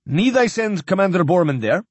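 A man's voice: sits high, very animated, moderate pace, no echo, voice pushed up. A man voicing a stern, cold older male fleet admiral who speaks in clipped sentences and drops his articles. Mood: coldly commanding.

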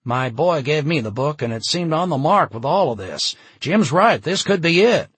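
Audiobook bit part: credulous townsperson, played by a male narrator, excitable, raised pitch, dry recording. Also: moderate pace, husky, no echo, full character voice. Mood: excited, credulous.

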